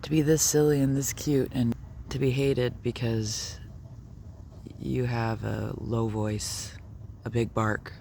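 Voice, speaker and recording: A man talking musingly while walking his dogs outdoors, sometimes speaking softly to them, recorded on a phone. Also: mid-range voice, level tone, slow, gravelly, slight background noise. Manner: musing, soft-spoken, talks to his dogs. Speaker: video blogger. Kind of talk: rambling walk-and-talk vlog. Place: outdoors on a neighborhood walk.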